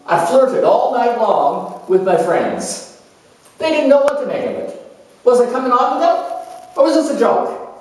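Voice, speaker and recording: A flighty, gushing young student played by a man chatters in a theatrical monologue, easily bored and skipping about. The pitch is high and leaps around freely, heard from a distance in a very echoey room. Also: exaggerated voice. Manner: excitable, scattered, gushing, jumping between subjects. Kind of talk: theatrical solo character monologue.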